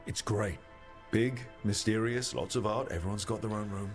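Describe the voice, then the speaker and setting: Male actor as easygoing adventurer leader, lowish voice, plain-spoken, video game dialogue, light music bed. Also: normal speed, close to an everyday voice. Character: easygoing, level-headed male adventurer leading a crew.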